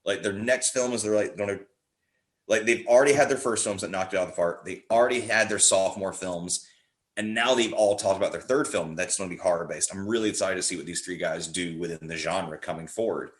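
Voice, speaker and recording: A man's voice: low-pitched, a little fast. A man chatting casually about films in an opinionated, emphatic way. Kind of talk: casual film chat.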